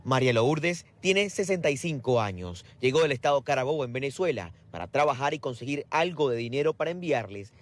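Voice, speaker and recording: A man's voice: medium pitch, lively intonation, fairly quick, few pauses, a little hiss or hum. Man reporting live outdoors. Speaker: field reporter. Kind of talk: live news report with street interviews. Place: outdoors at a border bridge.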